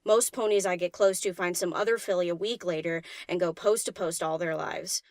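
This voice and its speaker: A woman's voice: lowish voice, steady tone, brisk, darkish tone, dry recording, clean studio sound, lightly acted. A woman voicing an easygoing young-spirited DJ pony in a fan audiobook, casual, slangy and teasing. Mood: tender, sincere. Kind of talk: fan audiobook character acting.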